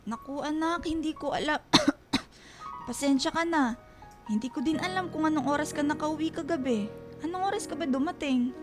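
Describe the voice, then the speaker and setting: An actress playing an ailing middle-aged mother in a radio drama, tender, fretful and gently stubborn. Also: high voice, moderate pace, natural voice. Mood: apologetic, reassuring. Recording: music bed under dialogue. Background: background music.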